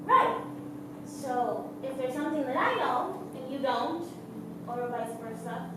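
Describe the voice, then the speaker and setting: A woman's voice: high-pitched, animated, unhurried, noisy background. A woman acting an earnest, searching young human circus star in a stage play, eager to belong. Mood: hesitant, confiding. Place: theater stage. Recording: very echoey theater, distant mic, music behind.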